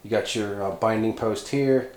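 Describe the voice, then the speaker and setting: A man's voice: lowish voice, moderate pace, darkish tone. A man narrating a hands-on DIY job casually, thinking aloud in a folksy, informal way. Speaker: DIY electronics video host. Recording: roomy echo, clear background noise, clicks.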